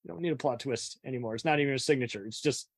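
A woman's voice: rumbling low, racing, breathy edge, no room sound. Woman host, casual opinionated film chat, wry and emphatic, dry close sound no room. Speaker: interviewer, host.

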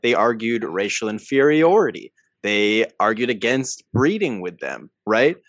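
A young adult man co-hosting a podcast, talking in an animated, emphatic way and often tagging points with "right?". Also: lowish voice, unhurried, dry recording. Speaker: podcast co-host. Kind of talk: casual political discussion.

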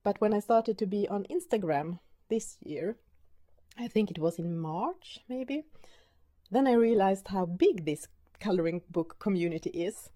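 Swedish-accented woman in her forties, mellow. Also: medium pitch, expressive. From Sweden. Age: 40s. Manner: unhurried, with hesitant fillers and repeated words. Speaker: podcast host. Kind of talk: casual personal introduction.

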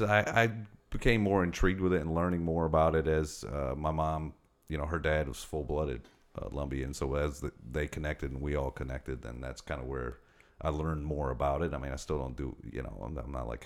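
A man's voice: very deep voice, fairly quick, few pauses. A man from Detroit, a comedian guest, chatting casually on a podcast and telling stories with laughs. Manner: animated storyteller, laughs while telling jokes.

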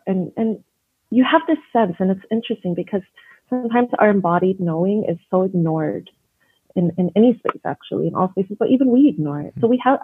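A woman from Canada talks through her work as an educator in an earnest, thoughtful way, often restarting her sentences. Her voice is husky, and it comes over a thin, phone-like line. The sound is dry, with no room echo. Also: mid-range voice. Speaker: educator and guest. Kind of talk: conversational interview.

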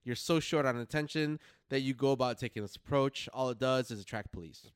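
A man co-hosting a podcast in casual, slangy talk, pausing and rephrasing as he explains his notes. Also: medium pitch, clean tone, no room sound, clean studio sound. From Philippines or Filipino family. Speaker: podcast co-host. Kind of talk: casual chat, summarizing notes.

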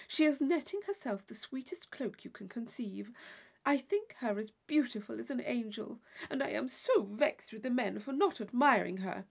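A woman's voice: fairly high pitch, vocal fry. A woman voicing a gushing, theatrical young society lady in a classic novel reading, effusive, affected and intense.

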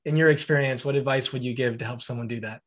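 A man's voice: medium pitch, steady tone, quick pace, a bit bright. A man hosting a podcast interview. He is encouraging toward his guest and closes with upbeat calls to review and subscribe. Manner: encouraging, wraps up with upbeat promo talk. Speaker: podcast host.

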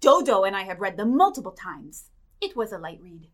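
A woman's voice: medium pitch, very animated, a little fast, heavy background noise, lightly acted. A woman voicing an incredulous, bookish bird in a comic audio drama.

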